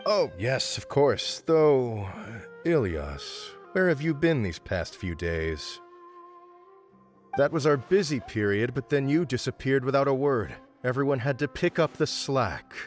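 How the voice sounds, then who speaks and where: Formal, dutiful academy administrator. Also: male voice, medium pitch, big pitch swings, soft background music. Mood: firm, businesslike. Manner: formal, measured, polite. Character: dutiful male academy administrator, deferential yet firm. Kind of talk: video game voice acting.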